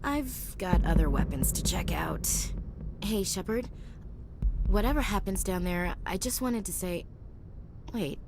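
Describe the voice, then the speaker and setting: A woman's voice: on the low side, expressive, measured pace, long pauses, background noise. An actress playing a tough, frank and passionate female soldier in a dramatic video game scene. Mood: teasing, fond. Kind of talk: scripted video game acting. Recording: loud music over game dialogue.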